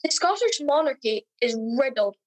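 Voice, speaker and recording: A woman's voice: high voice, very animated, moderate pace. A woman playing an earnest, mock-grave history presenter in a scripted radio comedy.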